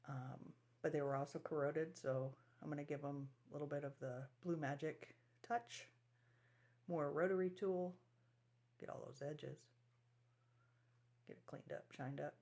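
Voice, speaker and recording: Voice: medium pitch, gravelly. A speaker casually narrating a hands-on restoration project as the host, with folksy, colloquial phrasing.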